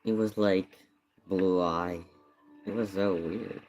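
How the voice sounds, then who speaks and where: Low voice guy rambling on stream, slow and loose. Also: steady tone, a little mellow, faint music behind. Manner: rambling, offhand remarks. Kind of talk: casual chat over a video.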